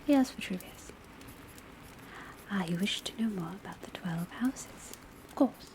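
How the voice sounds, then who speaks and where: A woman playing a calm, studious witch academy professor, lecturing in a soft, breathy, mostly whispered ASMR style with measured, deliberate delivery. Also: mid-range voice. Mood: calm, instructive. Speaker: teacher lecturing a class. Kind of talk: ASMR classroom roleplay, soft-spoken lecture. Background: faint patter of rain on rooftop.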